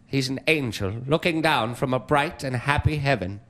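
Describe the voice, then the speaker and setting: A man's voice: medium pitch, normal speed, fairly bright tone, lightly acted. A man playing a frightened old miser, pleading theatrically with a spirit in stammering, exclamatory speech. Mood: sorrowful, pleading. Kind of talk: dramatic stage-style monologue.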